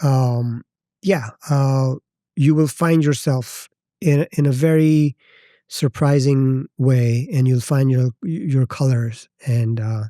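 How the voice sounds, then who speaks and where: A Pakistani-accented man in his thirties speaks as a podcast guest, his voice mellow and close in a dead, dry space. He talks in a reflective, halting way, often stopping to rephrase. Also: mid-range voice, no room sound. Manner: thoughtful, halting, circles back to rephrase. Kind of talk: reflective personal storytelling.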